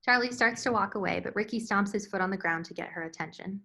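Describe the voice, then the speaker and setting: A woman narrates a screenplay's stage directions. Her voice is medium in pitch. She delivers it as scripted narration at a table read. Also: quick pace, vocal fry, bright, forceful tone.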